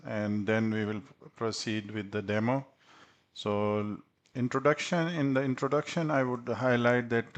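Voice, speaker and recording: A man presenting a software tutorial as an instructor, speaking haltingly with frequent hesitations. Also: medium pitch. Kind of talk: tutorial presentation over slides.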